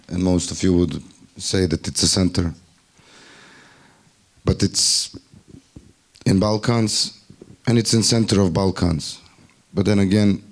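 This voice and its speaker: A man from Bosnia speaks up in a group discussion, his voice low and mellow. He has a Bosnian accent and a wry, ironic way of talking, and now and then he stops to look for the right word. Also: creaky. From Bosnia and Herzegovina. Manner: wry, ironic, searches for words. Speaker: festival workshop participant. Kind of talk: spontaneous discussion remarks.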